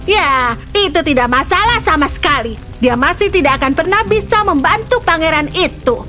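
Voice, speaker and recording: A woman plays a wicked storybook sorceress with a high-pitched voice. She is scheming, confident and gloating, over background music. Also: animated, moderate pace, sharp tone, full character voice. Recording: music behind the voice.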